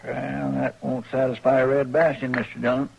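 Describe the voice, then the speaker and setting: An actor playing a folksy, respectful deputy sidekick in a radio western, with a rural American drawl. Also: male voice, mid-range voice, flat delivery, normal speed, creaky, a little mellow, breathy edge. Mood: musing. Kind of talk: old-time radio western acting. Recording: dull, faintly hissy old radio recording.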